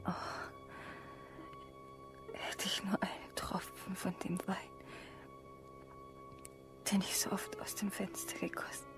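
A woman playing a starving, ruined young woman in a German radio drama, breathy, desperate and full of remorse. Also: mid-range voice, wildly swooping pitch, slow pace, long pauses, music in the background, heavily acted. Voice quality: breathy, whispering.

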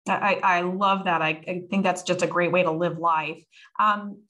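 A woman's voice: fairly low pitch, steady tone, normal speed, pushed and bright. Upbeat woman moderating a video panel. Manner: upbeat, asks rambling, loosely built questions. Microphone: video call webcam.

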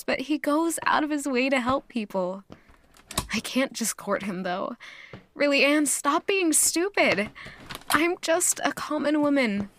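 A woman's voice: sits high, a bit bright, exaggerated voice. An actress playing a lovestruck, self-doubting young woman in an audio drama, speaking hesitantly and musingly. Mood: self-scolding. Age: young adult. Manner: hesitant, musing, questioning herself. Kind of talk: audio drama acting, introspective monologue.

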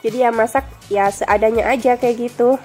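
Young woman, nasal from a cold, bright chatty vlog voice-over, light music under it. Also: a little high, steady tone, fairly quick. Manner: chatty, friendly, addresses viewers directly. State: stuffy nose from a cold. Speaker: vlogger. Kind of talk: casual voice-over narration.

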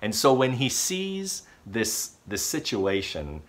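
A man in his thirties preaching a sermon from a small living room at home, talking straight to a close camera and telling the story with lively gestures. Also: medium pitch, slow pace. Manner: animated storytelling with expressive gestures. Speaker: pastor.

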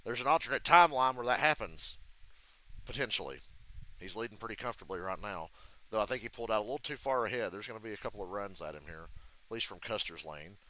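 A man calling a stock car race live for his viewers, chatty and running through the action as it happens. His voice sounds bright and forceful, with a slight hoarseness left over from a cold. Now and then he breaks into sarcastic jabs at the broadcast. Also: on the low side, expressive, quick pace, poor audio. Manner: running play-by-play, occasional sarcastic outbursts. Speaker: live race reaction streamer. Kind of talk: casual live race commentary.

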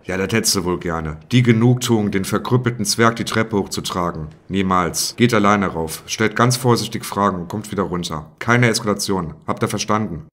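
A man's voice: lowish voice, normal speed, hardly any pauses, fairly bright tone, natural voice. A man playing a grumpy, sarcastic little police inspector with a bossy manner in a parody detective audio play.

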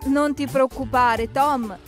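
A woman narrating a preschool cartoon in an expressive, exclamatory way, speaking to the characters. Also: high voice, slow pace. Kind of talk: lively scripted narration for preschoolers, talking to the characters. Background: background music.

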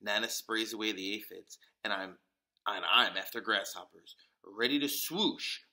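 A man reading a children's picture book aloud as a storyteller, with deliberate, dramatic emphasis. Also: on the low side, sharp tone. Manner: deliberate, dramatic emphasis with occasional whispers and exclamations.

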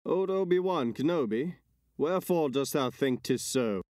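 A man's voice: on the high side, lively intonation, moderate pace, a little mellow, dry recording, clean studio sound, natural voice. A man playing a haughty, skeptical imperial commander in a Shakespearean dramatic reading. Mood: dismissive.

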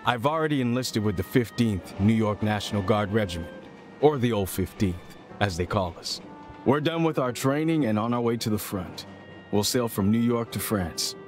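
Man acting a low-voiced confident young recruit. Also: natural voice. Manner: earnest, reassuring. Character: confident young American army recruit, devoted little brother. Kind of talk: dramatic voice-over reading a letter. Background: music.

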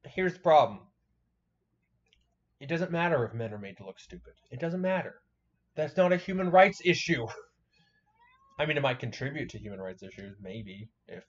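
Blunt man in a dull recording. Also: fairly low pitch. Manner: blunt, joking, rambling. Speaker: commentator reacting to a video. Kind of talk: casual, profane reaction commentary.

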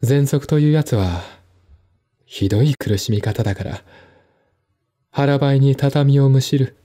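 A man playing a composed, articulate man in a one-man audio drama, explaining things in a measured, reflective way. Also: medium pitch, warm tone, natural voice. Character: composed, articulate man recounting his stepmother's death.

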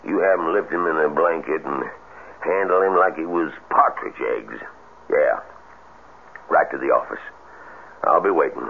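An actor playing a gruff, practical older country doctor with an American accent and a blunt, folksy manner in a 1970s radio drama. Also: male voice, very deep voice, moderate pace, pauses often. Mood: brisk, reassuring. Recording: tinny, faintly noisy old radio broadcast.

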